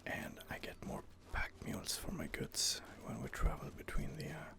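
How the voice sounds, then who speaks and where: A man whispering an ASMR roleplay, talking softly with hesitant fillers. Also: normal speed.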